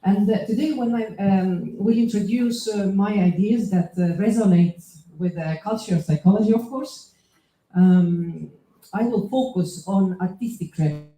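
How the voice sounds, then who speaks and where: Dark-voiced woman from Estonia, hesitant lecture. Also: medium pitch, normal speed. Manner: hesitant, many fillers and restarts. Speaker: associate professor, conference speaker. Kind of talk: academic conference talk. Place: lecture hall. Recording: echoey hall.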